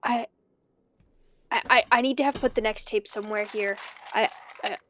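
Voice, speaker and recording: An actress playing an anxious woman investigator in an audio drama, talking to herself in a nervous, halting, stammering way. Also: a little high, steady tone, fairly bright tone, a little hiss or hum, natural voice. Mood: flustered. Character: anxious woman investigating her dead cousin's tapes.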